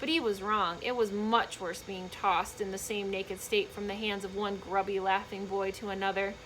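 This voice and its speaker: A woman reading a children's book aloud in an expressive, emphatic storytelling manner, with short explanatory asides for young listeners. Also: medium pitch, moderate pace, a little hiss or hum, rough recording.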